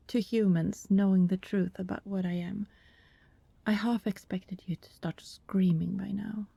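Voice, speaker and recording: A woman playing an anxious, apologetic vampire neighbor in an ASMR roleplay, soft and hesitant, often whispering, with politely formal wording. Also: mid-range voice, creaky, breathy edge, no echo, exaggerated voice.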